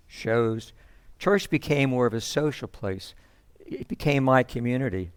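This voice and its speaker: A man playing a reflective, sentimental storyteller, telling a first-person monologue in measured phrases. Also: medium pitch, clear, resonant tone, no echo, close to an everyday voice. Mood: wistful, tender. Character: reflective, sentimental male storyteller recalling his upbringing.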